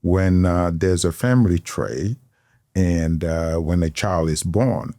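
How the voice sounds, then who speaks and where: A middle-aged man from Senegal, a guest telling his story in an interview, unhurried and halting with many fillers. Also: low voice, warm tone, no echo, studio quality. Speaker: guest, tailor. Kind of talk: interview conversation.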